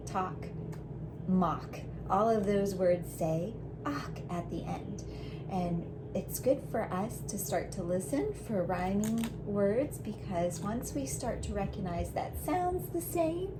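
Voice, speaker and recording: A woman's voice: mid-range voice, noisy background. A woman teacher giving a read-aloud phonics lesson to young children, explaining in simple words with examples.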